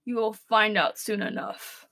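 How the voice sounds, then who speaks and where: A woman playing an earnest, defiant radio co-host who declaims deliberately in a comic audio drama. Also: mid-range voice, fairly even intonation, a bit bright. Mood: flatly annoyed. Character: solemn, defiant rebel radio co-host named Frank.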